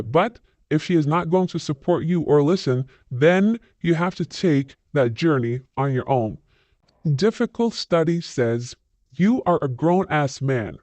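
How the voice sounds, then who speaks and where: Blunt, scornful man with a fairly high voice. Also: darkish tone, no echo. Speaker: channel host reading viewer comments. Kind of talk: reading online comments aloud with commentary.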